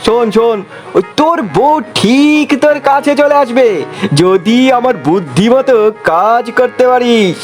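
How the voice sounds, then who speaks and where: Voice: mid-range voice, a little slow, darkish tone, faint music behind, lightly acted. A performer voicing a sly, cocky male monkey thief in a comic cartoon, exclamatory and wheedling. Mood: scheming. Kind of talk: comic animated cartoon dialogue.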